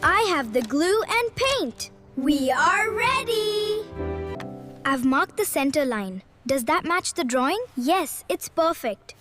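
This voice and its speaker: A woman's voice: sits high, very animated, slow, a bit bright, soft background music, heavily acted. A woman voicing an eager, kind-hearted schoolboy in a children's cartoon story, earnest and exclamatory. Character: excitable, eager, kind-hearted schoolboy building a science project.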